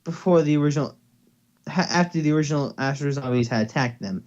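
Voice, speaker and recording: A man's voice: a little high, fast talker, a little mellow, muffled recording. A young man giving a casual amateur reading of a story, playful and stumbling, with offhand asides. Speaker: reader. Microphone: headset or desktop mic on voice call.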